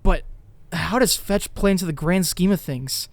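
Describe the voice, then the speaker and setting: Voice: medium pitch, normal speed, vocal fry, close to an everyday voice. An actor playing an anxious, driven teenage boy who narrates his own story intensely in a dramatic comic dub. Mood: brooding, determined.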